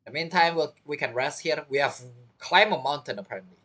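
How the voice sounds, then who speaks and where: A woman's voice: deep voice, fast, noisy background. A woman giving chatty, casual commentary while playing a game, with mumbled asides. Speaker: game streamer. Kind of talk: casual let's-play commentary.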